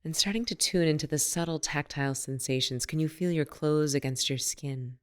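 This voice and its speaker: A woman leading a guided meditation as a teacher, speaking in a soothing, unhurried and gently instructive manner. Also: low-pitched, smooth.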